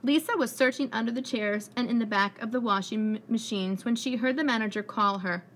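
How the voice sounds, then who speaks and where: A woman preschool teacher reading a picture book aloud to young children with expressive storytelling. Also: on the high side. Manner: expressive storytelling, pausing to ask the children questions. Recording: clearly noisy room recording.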